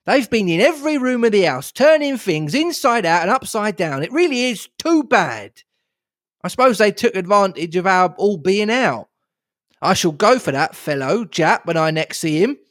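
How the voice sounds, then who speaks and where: A man voicing a good-natured but easily riled gentleman in a storybook reading, blustering and indignant. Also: sits high, very animated, normal speed, no room sound, studio quality, pitched above the performer's own voice.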